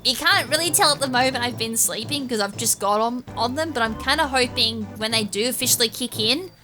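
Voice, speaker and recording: Female gaming host, slightly high voice, casual and rambling with an occasional stutter, clear music behind her. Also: fairly quick. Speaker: gaming YouTuber, series host. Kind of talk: casual video game walkthrough commentary. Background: game music.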